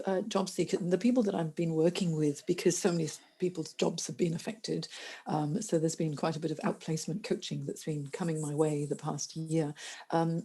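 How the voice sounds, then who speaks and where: A middle-aged woman speaks as a careers coach on a podcast. Her voice sits low and mellow, with a slightly husky grain. She talks earnestly and often restarts her sentences. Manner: earnest, many restarts and fillers. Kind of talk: conversational podcast interview.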